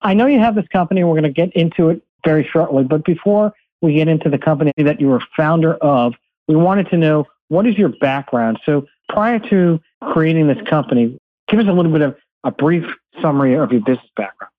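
A man's voice: a little high, animated, brisk, dry recording. A man hosting a radio show, joking and teasing before interviewing his guest. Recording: tinny phone-like dry audio.

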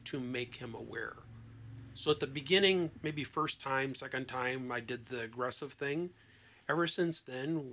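A man with an average-pitched voice that often drops into creak. He speaks as a therapist on a podcast, explaining through anecdotes and acting out bits of dialogue. His delivery is expressive and emphatic. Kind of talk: conversational explanation with anecdotes and acted-out quotes.

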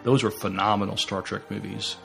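A man with a fairly low voice chats casually as a podcast co-host. His delivery is halting and stammering, with music behind him. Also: flat delivery, gravelly. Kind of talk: casual film review chat.

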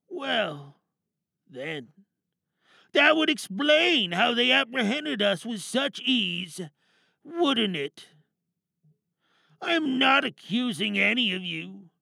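High-pitched, pompous villainous leader. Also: male voice, gravelly, sharp tone, no echo, heavily acted. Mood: suspicious, probing. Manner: theatrical, pompous, menacing oratory. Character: grandiose, paranoid villainous cult-like leader addressing followers. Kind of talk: dramatic audio theatre monologue.

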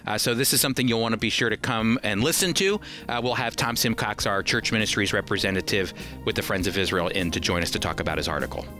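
A man's voice: on the low side, quick pace, bright, forceful tone. A man hosting a radio program, speaking directly to listeners in a scripted ministry style. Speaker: radio program host and teacher. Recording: faint music bed behind voice. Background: music.

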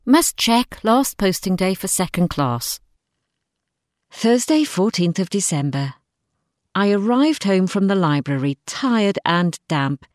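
A woman narrates a diary-like short story in an expressive way. Her pitch sits in the middle range for a woman, and the sound is dry, with very little room around the voice. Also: slow pace, dry recording. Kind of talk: scripted first-person story narration, diary-like.

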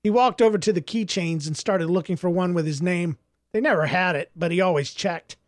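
A man's voice: high-pitched, brisk, no room sound, studio quality. A man who is an author, speaking as a storyteller reading and reflecting on his own work. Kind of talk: audiobook narration and reflective interview answers.

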